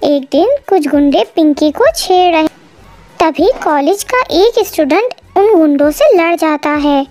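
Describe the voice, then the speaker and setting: A woman's voice: very high voice, animated, unhurried, darkish tone. A woman narrating a scripted cartoon story as the storyteller.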